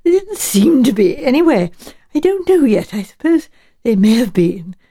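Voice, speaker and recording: Woman acting a genteel, shrewd elderly English spinster sleuth, mellow. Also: fairly high pitch, very animated, moderate pace. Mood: musing, measured. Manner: musing, hedging, politely chatty.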